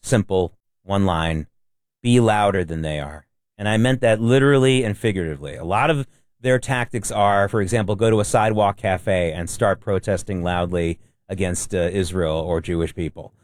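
A man's voice: low voice, normal speed, no echo, studio quality. A man co-hosting a talk radio show, blunt and emphatic. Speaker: radio co-host. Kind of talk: casual talk radio chat.

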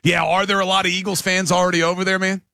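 Bright, high-voiced male radio host. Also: little pitch movement, gravelly, no room sound, clean studio sound. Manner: upbeat, quick, joking banter. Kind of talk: casual radio chat. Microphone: studio microphone.

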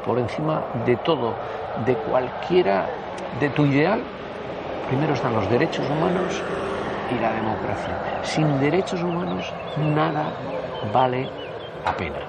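Gravelly northern Spanish man in his sixties. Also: mid-range voice, animated, a little slow, pauses often, very noisy. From Basque Country, Spain. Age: 60s. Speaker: interviewee. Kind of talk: conversational personal reminiscence.

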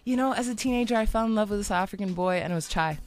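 A woman's voice: medium pitch, rapid-fire, soft background music. A young woman from Iran whose first language is Farsi, a pop singer chatting as a guest in a radio studio, bubbly and laughing often. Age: young adult. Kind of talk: radio interview.

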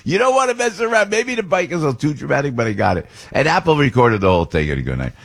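A man's voice: fairly high pitch, big pitch swings, very fast, gravelly. An older man hosting a radio talk show, rambling and chatty with frequent restarts. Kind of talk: casual political talk radio monologue.

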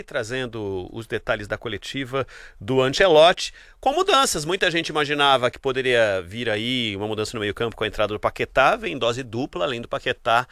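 A man from Brazil hosting a sports broadcast, lively and announcer-like. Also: medium pitch, no echo, clean studio sound. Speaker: sports show host. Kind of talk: radio sports anchoring.

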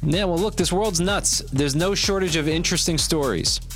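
A man's voice: on the high side, moderate pace, a bit bright. A man hosting a morning radio show, joking and bantering with his co-host and laughing at his own jokes. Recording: studio talk with music underneath. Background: music bed.

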